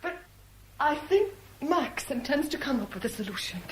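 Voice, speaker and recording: High-voiced plaintive, tragic woman. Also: very animated, normal speed. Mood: anguished, pleading. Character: tragic, anguished woman torn between two lovers. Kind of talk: 1970s British radio drama acting. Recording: noisy old radio broadcast recording.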